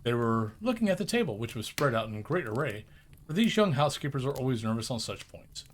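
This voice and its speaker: A man reading a classic story aloud as narrator, in an expressive storybook style. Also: medium pitch, brisk, slight background noise.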